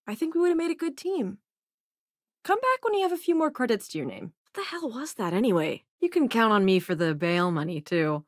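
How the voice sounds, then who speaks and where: A sardonic, expressive spacefaring hacker. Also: female voice, a little high, brisk, dry recording, clean studio sound. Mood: wry, guarded. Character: cynical, dry-witted spacefaring hacker and researcher, game companion. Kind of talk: video game companion voice lines.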